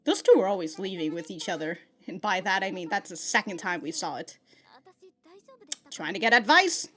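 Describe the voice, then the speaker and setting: A woman giving casual, slangy reaction commentary as the host of a video, in an animated, informal way. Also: fairly low pitch, moderate pace.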